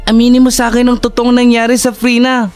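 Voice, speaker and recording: A caring young man voiced by an actor with a mid-height voice, gently prying until his friend opens up. Faint music plays under the scene. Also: medium pitch, completely flat delivery, quick pace, clear, resonant tone, natural voice. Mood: concerned.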